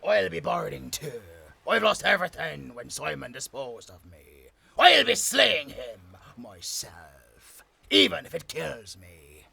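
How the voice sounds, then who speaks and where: A gruff pirate out for revenge in a seafaring audio drama. The voice is highish and very piercing, with a grim, forceful bite. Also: male voice, big pitch swings, unhurried, heavily acted. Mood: grim, vengeful. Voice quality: gravelly, sharp. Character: vengeful, gruff cast-off pirate giving orders. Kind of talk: theatrical nautical audio drama acting.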